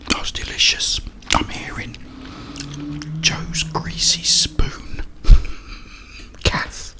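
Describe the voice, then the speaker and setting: A British man hosting an ASMR ramble in a breathy, unvoiced whisper, chatting casually with slow pauses. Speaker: ASMR video host.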